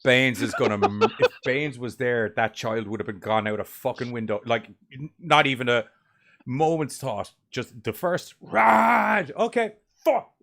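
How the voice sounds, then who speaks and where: A man co-hosting a casual horror movie group chat, rambling and swearing as he tells animated stories. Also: mid-range voice, a little slow, vocal fry. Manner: rambling, profane, animated storytelling. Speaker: podcast co-host.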